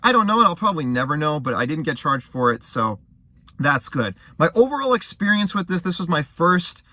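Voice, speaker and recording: Young male vlogger, conversational and rambling, bright-edged voice, dry sound from inside a car. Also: fairly high pitch, dry recording. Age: young adult. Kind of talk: casual talk to camera.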